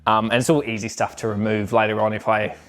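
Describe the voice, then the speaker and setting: A man's voice: on the low side, little pitch movement, fast, a bit bright. Rambling man in a garage. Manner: rambling, self-correcting, offhand. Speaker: car restoration vlogger. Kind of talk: casual talk while working on a car.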